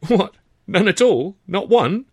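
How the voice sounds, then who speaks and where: Man putting on a high, chattering cheeky chorus girl. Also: very animated, slow pace, darkish tone, breathy edge, no room sound, dull audio, exaggerated voice. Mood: mock outrage. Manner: chatty, theatrical, teasing exclamations.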